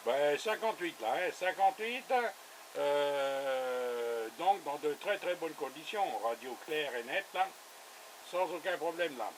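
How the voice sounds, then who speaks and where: A man's voice: on the high side, lively intonation, slow pace, a little hiss or hum, rough recording. A man chatting casually as an amateur radio operator, in a colloquial, easygoing way. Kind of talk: casual radio contact chat. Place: radio station with a camera running.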